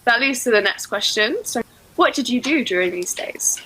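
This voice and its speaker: A woman's voice: fairly high pitch, animated, normal speed, sharp tone, husky, low-quality recording. A woman with an English accent, asking speaking-test questions in a friendly way. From England. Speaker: examiner.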